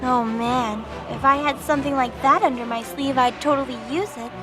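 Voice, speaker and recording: Woman playing a high-voiced, flippant rookie angel. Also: normal speed, over music, close to an everyday voice. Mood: teasing. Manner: flippant, teasing, stubborn. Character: stubborn, flippant young female rookie angel gunslinger. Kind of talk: audio drama acting.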